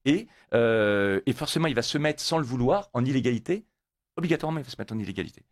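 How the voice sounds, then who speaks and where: A man's voice: mid-range voice, lively intonation, quick pace, no room sound, studio quality. A man born in Italy, a guest on a radio interview, talking emphatically and repeating words when pressed. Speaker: guest, motorcyclists' federation coordinator.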